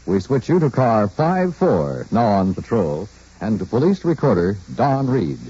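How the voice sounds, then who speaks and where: A man announcing a scripted program in a dramatic 1950s radio style, with a husky, rough-edged voice. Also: fairly low pitch, very animated, unhurried, warm tone, a little hiss or hum. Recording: muffled old radio broadcast recording.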